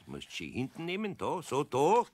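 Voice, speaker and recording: A man's voice: a little high, wildly swooping pitch, normal speed, darkish tone, slight background noise. An actor with a Bavarian accent playing a grumbling but kindly older master craftsman who admonishes, in a children's radio play. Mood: grudging instruction.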